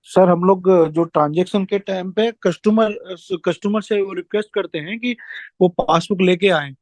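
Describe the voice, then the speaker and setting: A man's voice: high-pitched, moderate pace, a little mellow, no echo. A man deferentially reporting work practices to his superior on a conference call, often stumbling over his words. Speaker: regional staff member reporting.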